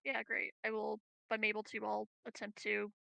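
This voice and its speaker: A woman's voice: medium pitch, completely flat delivery, moderate pace, vocal fry, pushed and bright, no room sound. A woman playing a tabletop roleplaying game, chatting casually and matter-of-factly about her moves.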